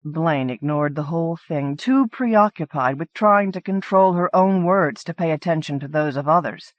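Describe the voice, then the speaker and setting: A woman reading a story as an audiobook narrator, in an expressive storytelling manner. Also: deep voice, normal speed, no echo, muffled recording. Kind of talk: scripted fiction narration.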